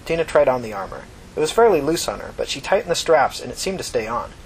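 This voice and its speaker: A man's voice: mid-range voice, sing-song, fast, slight background noise, low-quality recording. A man narrating scripted fiction as the reader of a story.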